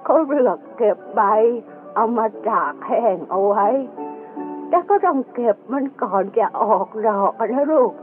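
A woman's voice: on the high side, expressive, a little slow. A woman playing a kindly elderly grandparent who patiently explains things in a folksy, instructive way in an educational radio drama. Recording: tinny band-limited audio with music behind.

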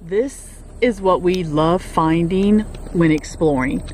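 A woman's voice: fairly low pitch, slow pace, darkish tone, a little hiss or hum, poor audio. A woman filming a road trip vlog from a car, gushing and exclaiming at what she sees along the way. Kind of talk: casual travel vlog narration. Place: inside a moving car.

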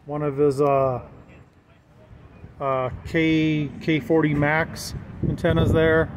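A man's voice: on the high side, flat delivery, takes it slowly. A man giving a casual, jokey walk-around commentary as an amateur video host, speaking informally with the odd swear word. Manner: informal, jokey, mildly profane. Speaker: amateur video host touring CB radio truck setups. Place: parking lot, in and around a pickup truck. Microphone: handheld phone or camera. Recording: noisy handheld outdoor and vehicle recording.

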